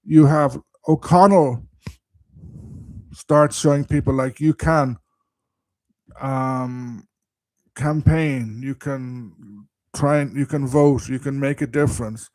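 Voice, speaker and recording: A man's voice: medium pitch, steady tone, takes it slowly, long pauses, darkish tone, no echo. A man giving an informal live history talk, explaining conversationally and thinking aloud, his voice strained by a coming cold. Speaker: history presenter.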